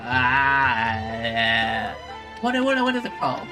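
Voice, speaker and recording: A streamer chats casually while playing a game. The voice is mid-pitched with animated swings, reacting expressively and musing aloud over clear game music. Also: takes it slowly. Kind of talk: casual live reaction commentary.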